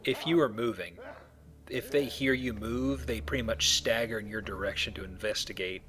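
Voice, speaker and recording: A man's voice: on the low side, normal speed, pushed and bright. A man hosting a casual let's play, chatting as he plays a video game. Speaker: video game player and host. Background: video game sounds.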